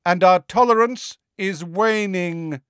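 A man voicing a proud, coolly threatening male centaur who speaks formally, smoothly and with measured calm. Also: medium pitch, dry recording, clean studio sound, pitched above the performer's own voice. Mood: warning.

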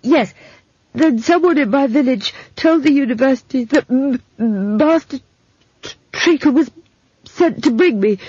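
A woman's voice: high voice, a little slow, mellow, no echo, lo-fi sound, pitched above the performer's own voice. A woman voicing an earnest, dreamy young wizard's apprentice who stutters on consonants and gulps for air, in an audiobook. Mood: earnest, halting. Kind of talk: audiobook character voice.

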